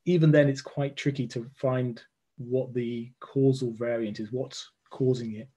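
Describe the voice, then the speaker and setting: A man in his forties, a scientist explaining his research over a video call from a room at home, thoughtful and full of pauses and fillers. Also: medium pitch, fairly even intonation, dark tone, husky. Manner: thoughtful, hesitant, many fillers and restarts. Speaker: genomics lecturer, guest scientist. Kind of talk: explaining research in a live online interview. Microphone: video call webcam.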